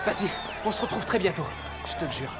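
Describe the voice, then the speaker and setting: A man's voice: sits high, expressive, quick pace. A man playing an urgent, pressing character in a dubbed cartoon shipwreck evacuation. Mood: pleading, urgent. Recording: loud music and crowd uproar behind.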